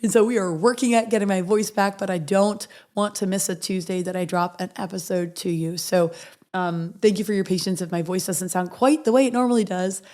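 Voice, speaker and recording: A woman hosting a motivational podcast, her voice raspy and hoarse as she recovers from being sick. She talks earnestly and reads affirmations softly and slowly. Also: medium pitch, steady tone. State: hoarse from recovering after illness. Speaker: podcast host.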